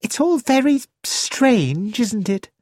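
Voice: mid-range voice, big pitch swings, slow, darkish tone, no room sound, voice pushed up. A narrator voicing a flighty, childish young actress who exclaims eagerly about money, read in a close, dry studio recording. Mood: wondering.

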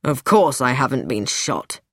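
A woman's voice: a bass voice, slow pace, dry recording, studio quality, pitched below the performer's own voice. A woman voicing a curt, authoritative older husband in an audiobook, clipped and dismissive. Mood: irritated.

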